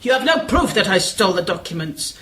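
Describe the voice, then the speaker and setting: A woman's voice: medium pitch, big pitch swings, a little fast, a bit bright, slight background noise. A woman playing a scheming female spymaster, mocking and theatrical in an amateur radio play. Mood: cold taunting. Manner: gloating, mocking, theatrical laughter.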